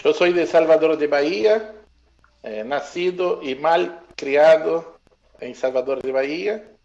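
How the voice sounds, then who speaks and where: A man interpreting in consecutive fashion, mixing two languages with a halting manner. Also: a little high, vocal fry, darkish tone, muffled recording. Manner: mixes Spanish and Portuguese, halting.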